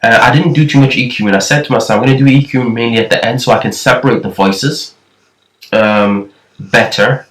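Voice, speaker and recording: A man's voice: medium pitch, brisk, a bit bright. A man with a thin voice giving a casual, rambling tutorial on nasheed production, often restarting his sentences. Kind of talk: casual screen-recorded tutorial. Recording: echoey room, far from the mic.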